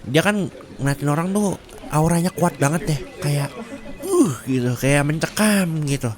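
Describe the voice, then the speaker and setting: A man playing a gossipy, excitable male office coworker in a roleplay, with a Jakarta accent and slangy, dramatic, rambling chat. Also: fairly high pitch, unhurried, crowd noise. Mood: gossipy, teasing.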